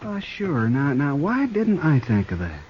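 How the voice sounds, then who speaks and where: An actor playing a dim, trigger-happy outlaw sidekick in an old radio western, excitable and petulant, with a stammering rustic way of talking. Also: male voice, a little high, measured pace. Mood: eager. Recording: muffled, hissy old radio recording.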